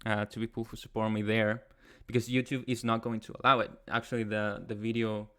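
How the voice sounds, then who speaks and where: A young man from Chile with a Chilean Spanish accent, hosting a casual livestream and answering chat in a soft, hesitant way with many pauses. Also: lowish voice, little pitch movement, clean tone. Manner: soft-spoken, hesitant, many ums and restarts. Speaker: ASMR channel host. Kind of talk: casual livestream chat, reading viewer comments.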